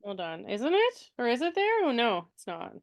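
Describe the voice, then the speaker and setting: A woman in a casual book club chat, curious and full of questions and quick asides. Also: a little high, huge pitch swings.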